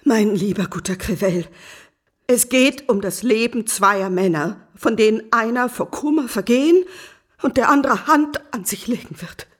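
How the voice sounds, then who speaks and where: Actress as a high-voiced, desperate, breathy wife. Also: female voice, normal speed, natural voice. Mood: despairing. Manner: imploring, formal, emotional. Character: desperate virtuous wife pleading to save her family. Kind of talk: literary radio drama acting.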